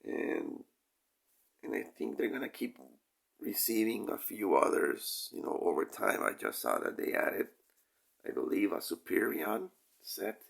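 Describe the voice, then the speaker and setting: A man with a deep, crackly voice, still rough from a cold, talks as the host of a casual review. He takes his time, pausing and rambling as he goes. His intonation moves up and down in a lively way over a heavy gravelly fry. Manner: hesitant, rambling, pausing often, frequent throat clearing. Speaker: toy review channel host. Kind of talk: casual product review talk.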